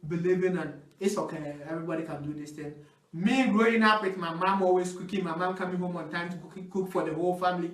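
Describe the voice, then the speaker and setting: High-pitched African-accented man debating in echoey room. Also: steady tone, fast. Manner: argumentative, interjecting. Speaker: talk show panelist. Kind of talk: casual debate. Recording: echoey room, distant microphone.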